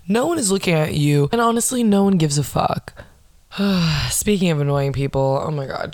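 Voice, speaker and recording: A young woman with a lowish voice hosts a podcast, venting in a casual, foul-mouthed, sarcastic rant. She slips into mocking impressions of the people who annoy her. The recording is dry and close, with no room sound. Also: darkish tone, no room sound. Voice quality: low voice, not nasal. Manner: sarcastic, swears a lot, acts out mocking quotes. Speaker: podcast host. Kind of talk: casual ranting monologue.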